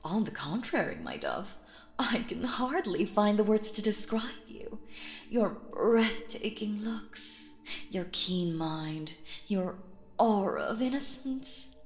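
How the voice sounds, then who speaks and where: A woman acting out a sinister, possessive stage magician in an intimate audio roleplay, cooing endearments theatrically and slipping into breathy, menacing whispers. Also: medium pitch, very animated, takes it slowly, reverberant. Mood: adoring, obsessive.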